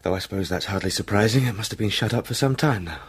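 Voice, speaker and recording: A man's voice: lowish voice, quick pace, slightly rough. An actor playing a proper young English solicitor in a radio drama, talking to himself in short, clipped phrases as he steels his nerves. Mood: composing himself. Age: young adult. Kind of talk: British radio drama acting.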